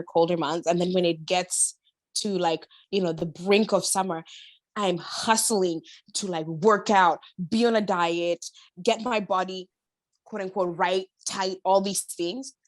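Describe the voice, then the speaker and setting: A woman's voice: fairly low pitch, fairly even intonation, measured pace, dry recording. A woman chatting personally as a podcast guest, emphatic and slangy in a motivational way. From Kenya. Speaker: podcast guest, body-confidence influencer. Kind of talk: casual, personal interview chat.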